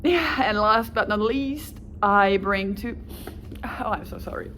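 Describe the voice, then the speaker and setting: A woman's voice: medium pitch, slow pace, pushed and bright, a little hiss or hum. A woman with a German accent, whose first language is German, introducing herself in a casual, rambling way with restarts and small laughs. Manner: rambling with self-corrections and light laughs. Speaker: volunteer applicant. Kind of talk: casual self-presentation.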